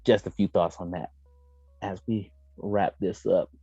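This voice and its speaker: Low-voiced American man, roomy dull audio. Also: fairly even intonation, measured pace, warm tone, husky. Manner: emphatic, slangy, profane. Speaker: podcast host. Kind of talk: casual opinionated chat about games and movies. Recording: dull lo-fi roomy audio, faint music behind.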